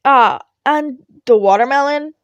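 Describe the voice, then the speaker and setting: A woman's voice: high voice, unhurried, no echo, muffled recording. A woman voicing a reserved, puzzled teenage boy student with a hesitant, questioning manner in a fan fiction reading. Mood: bewildered.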